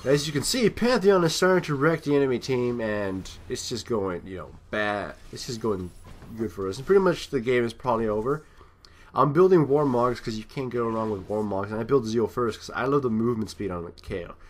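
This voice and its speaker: A man's voice: mid-range voice, expressive, brisk. Man giving rambling, casual game commentary. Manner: rambling, thinking aloud, trailing off. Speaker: gameplay commentator.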